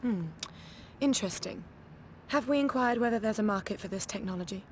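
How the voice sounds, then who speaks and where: An actress playing a poised, calculating female criminal mastermind in a cinematic video game, speaking in a composed, measured way. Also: mid-range voice, a little hiss or hum, close to an everyday voice. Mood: cool, businesslike.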